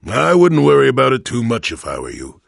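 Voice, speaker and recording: An actor playing a cantankerous, wry old male Jedi hermit in a video game, with a gravelly, husky voice and a grumbling, sardonic manner. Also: mid-range voice, fairly quick, dry recording, clean studio sound, full character voice. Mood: wry, musing. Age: older. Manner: grumbling, sardonic, theatrically expressive. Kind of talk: video game character dialogue.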